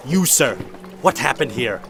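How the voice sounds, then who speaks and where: An actor playing a grim, commanding monster hunter who questions imperiously in a radio drama. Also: male voice, mid-range voice, lively intonation, unhurried, creaky, noisy background. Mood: stern, demanding. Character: commanding male monster hunter, grim, world-weary interrogator. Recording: loud music behind the voice.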